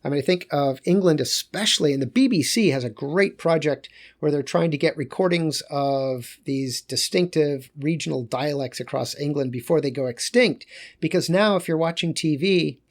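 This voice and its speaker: Man giving a relaxed, rambling lecture. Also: a little high, normal speed. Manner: loose, conversational, with fillers and self-corrections. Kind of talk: recorded geography lecture.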